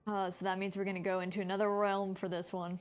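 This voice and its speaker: A woman's voice: medium pitch, flat delivery, quick pace. A woman streamer giving casual, chatty, informal commentary as she plays a video game. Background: game music.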